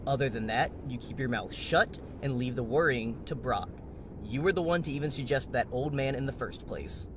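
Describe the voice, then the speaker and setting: A man's voice: medium pitch, background noise, close to an everyday voice. Man acting a cocky, dismissive young jock. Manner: slangy, sarcastic, domineering. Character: cocky, dismissive young athlete bullying his nervous teammate. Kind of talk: audio drama dialogue.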